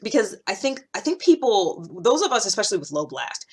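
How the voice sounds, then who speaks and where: A woman in her thirties talking casually to camera like a podcaster, in an animated, conversational way. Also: medium pitch, fairly quick, husky. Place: small indoor room with a cloth backdrop.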